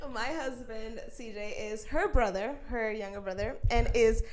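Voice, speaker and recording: A woman's voice: on the high side, moderate pace. A bubbly young woman, co-hosting a casual chat podcast. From Puerto Rico. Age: 20s. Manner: bubbly, upbeat. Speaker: podcast co-host.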